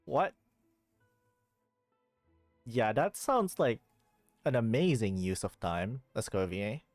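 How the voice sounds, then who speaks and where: Casting: male game streamer, lowish voice, dry close sound, casual grumbling wit over faint music. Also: very animated, takes it slowly, no echo. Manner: wry, grumbling. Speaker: livestreamer.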